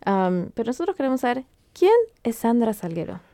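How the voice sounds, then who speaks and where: A woman's voice: a little high, very animated, a little fast, mellow. A woman hosting a show in a conversational interview style. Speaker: radio host.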